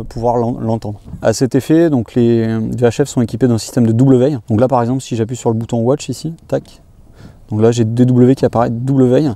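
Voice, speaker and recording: Male tutorial presenter, very mellow dark tone, brisk pace, informal unscripted demo delivery. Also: medium pitch, no room sound. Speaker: presenter of boating equipment tutorials. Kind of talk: informal product demonstration, explaining step by step.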